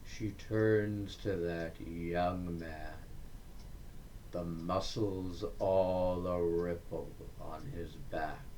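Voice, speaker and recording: A man giving a dramatic recitation of Victorian verse, deliberate and declamatory, breaking the lines into short phrases with pauses. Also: low-pitched, fairly even intonation, mellow, slight background noise, rough recording. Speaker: reader of poetry.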